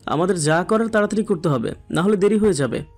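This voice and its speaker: Audio drama role: man as shaken young doctor, high-pitched, fast, anxious. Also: expressive, faint music behind. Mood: urgent worry. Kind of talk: Bengali audio story acting.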